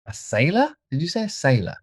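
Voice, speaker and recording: A man voicing an anxious, imperious young gentleman with an intense manner, for an audiobook. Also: fairly low pitch, expressive, normal speed, dry recording, clean studio sound, voice pushed up, close to an everyday voice. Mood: agitated. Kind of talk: audiobook character voice.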